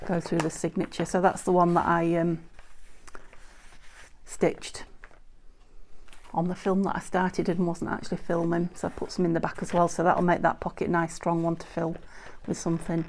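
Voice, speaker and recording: A woman from the UK hosting a crafting video, chatting casually and rambling a little as she shows her work, her voice a bit croaky. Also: fairly low pitch, completely flat delivery, moderate pace, darkish tone. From United Kingdom. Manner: chatty, rambling, self-correcting with frequent ums. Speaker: craft channel host. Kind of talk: casual crafting tutorial chat. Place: indoors at a craft table. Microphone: overhead camera, hands only on table.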